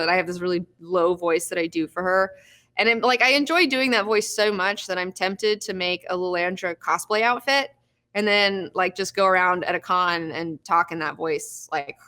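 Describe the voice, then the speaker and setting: A woman's voice: lowish voice, sharp tone. A young woman hosting a live recap show, chatting casually, laughing often and swearing freely.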